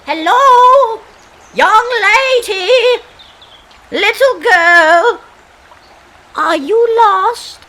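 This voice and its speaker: A woman's voice: very high-pitched, expressive, extremely slow, long pauses, sharp tone, full character voice. A woman playing a kindly, insistent old woman in a fairytale audio play, with a fussing, folksy manner. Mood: hailing, fussing. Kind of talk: amateur audio play acting. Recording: faint background noise.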